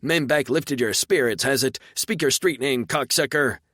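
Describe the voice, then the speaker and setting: A man voicing a rude, hot-headed male wagon driver who yells and swears. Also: mid-range voice, moderate pace, no echo, clean studio sound, voice pushed up, natural voice. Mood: irate. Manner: yelling, swearing.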